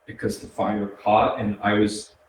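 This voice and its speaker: A man plays a murdered man in a staged drama, bleakly recounting his death. The voice is on the low side and sounds distant, with heavy echo around it. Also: level tone, measured pace, close to an everyday voice. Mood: somber, bleak. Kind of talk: staged play reading, dramatic monologue. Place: arts center stage, indoors, recorded from afar. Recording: very echoey hall, distant mic, background noise.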